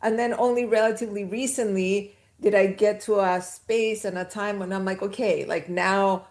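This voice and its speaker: A woman's voice: medium pitch, steady tone, moderate pace, a little mellow. Guest, woman from Chile, medium voice, conversational storyteller, laughs easily. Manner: chatty, storytelling, laughs mid-sentence. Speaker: podcast guest. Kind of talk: casual conversation.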